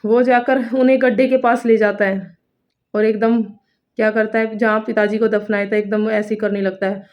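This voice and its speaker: A woman's voice: mid-range voice, little pitch movement, normal speed. A woman telling a story to viewers informally, repeating phrases and building suspense as a storytelling coach would. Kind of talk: spoken storytelling, explaining to viewers. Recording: dull lo-fi recording.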